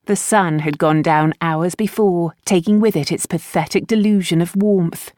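Low-pitched woman narrating a novel. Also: fairly even intonation, moderate pace, darkish tone, no room sound, studio quality. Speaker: audiobook narrator. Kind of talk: scripted fiction narration.

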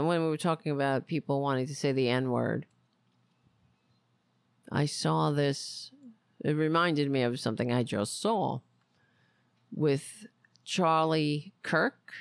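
A talk show host giving casual, rambling political commentary in a wry, self-mocking, exasperated way, often halting and drifting off on tangents. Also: mid-range voice, gravelly, dry recording.

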